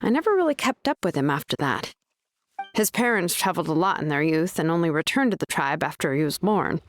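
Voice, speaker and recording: A woman's voice: sits low, very animated, fairly quick. Easygoing, wry tribeswoman. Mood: wry, informative. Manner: casual, wry, explanatory. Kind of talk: video game voice acting.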